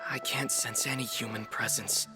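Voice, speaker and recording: A man playing an earnest, observant young adventurer in a video game, reporting in a measured, serious way. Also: medium pitch, fairly even intonation, music in the background, natural voice. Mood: grave, puzzled. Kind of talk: video game character dialogue.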